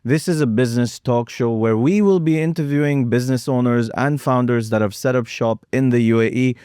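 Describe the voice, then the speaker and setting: A man's voice: medium pitch, brisk, dark tone, clear, resonant tone, dry recording, studio quality. A man hosting a business talk show, interviewing his guest in a friendly, jokey, upbeat way. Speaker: host. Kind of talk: casual interview.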